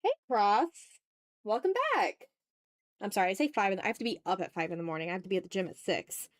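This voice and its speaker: A woman's voice: medium pitch, animated, quick pace. A woman talking informally to viewers as she draws on a livestream, often breaking off to greet people in the chat. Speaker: host of an art livestream, video creator. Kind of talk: casual livestream chat with viewers while drawing. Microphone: streaming mic, newly placed a little far away.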